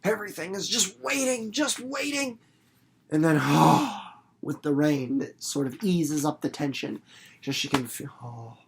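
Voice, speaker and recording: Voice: mid-range voice, animated, a little slow, vocal fry, a little hiss or hum. Casual teacher-narrator, mid-range voice, informal, rambling, theatrical, explaining a story to students. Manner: rambling, theatrical, with acted-out examples and sound effects; informal, playful, repeats words for emphasis. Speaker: reader and literature teacher hosting a read-aloud video series. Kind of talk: casual read-aloud commentary and explanation for students.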